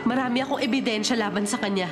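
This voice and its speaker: A woman playing a wronged, resolute young woman in a radio drama, speaking in a stern, deliberate, dramatic way. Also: a little high, natural voice. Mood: indignant. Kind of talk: Filipino radio drama acting. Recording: dramatic music behind.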